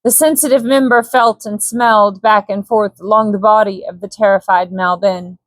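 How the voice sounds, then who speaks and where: A woman reading a scripted bedtime story as a calm audiobook narrator. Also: mid-range voice, normal speed, dry recording.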